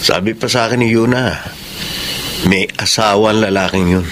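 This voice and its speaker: An actor playing a lovesick, rejected suitor in a Filipino radio drama. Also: male voice, fairly low pitch, normal speed, noisy background, lightly acted. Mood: reproachful.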